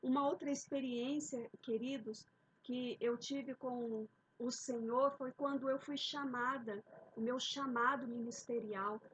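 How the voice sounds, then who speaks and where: Fervent woman preacher, high husky voice. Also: steady tone, a little slow, fairly bright tone, poor audio. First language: Portuguese. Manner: fervent, repeated "queridos" and "ó Pai". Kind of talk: sermon with opening prayer.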